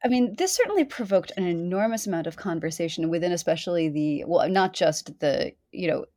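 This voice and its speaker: A woman co-hosting a podcast in casual chat, wry and articulate, quick to laugh. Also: fairly low pitch, animated, mellow. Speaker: podcast co-host.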